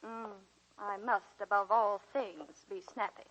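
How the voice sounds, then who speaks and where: An actress playing a retired college teacher over seventy, dry, tender and reflective, in a 1940s radio drama style. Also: female voice, medium pitch, steady tone, unhurried, fairly bright tone, close to an everyday voice. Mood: wry, resigned. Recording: dull vintage 1940s radio recording.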